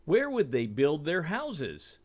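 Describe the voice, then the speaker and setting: A man reading a children's story aloud in an expressive, deliberate storytelling manner. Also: fairly high pitch. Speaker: storybook reader.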